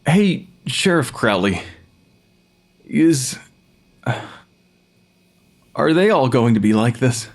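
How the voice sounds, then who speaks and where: A man's voice: medium pitch, very animated, takes it slowly, pauses often, heavily acted. A man playing an earnest, troubled young forensic pathologist in an audio drama, hesitant and questioning. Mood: uneasy.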